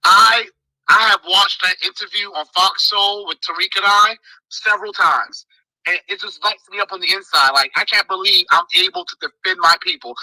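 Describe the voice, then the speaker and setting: A man's voice: high-pitched, dry recording, low-quality recording. A man running for office, giving an impassioned thank-you speech with a strong, fiery delivery. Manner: strong, forceful voice. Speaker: political candidate.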